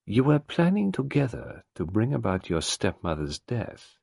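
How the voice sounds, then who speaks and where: A soft-spoken, probing older detective, put on by a male narrator, slightly raised, storybook. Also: fairly low pitch, wildly swooping pitch, vocal fry, no room sound, studio quality, voice pushed up, full character voice. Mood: calm. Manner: quiet, measured, courteous.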